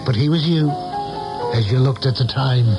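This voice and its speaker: A man plays a concerned American friend and confidant in a vintage radio play. He has a mid-pitched voice and probes tactfully in measured, deliberate phrases, with music clearly heard behind him. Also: lo-fi sound, close to an everyday voice. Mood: puzzled. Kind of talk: 1970s radio drama acting.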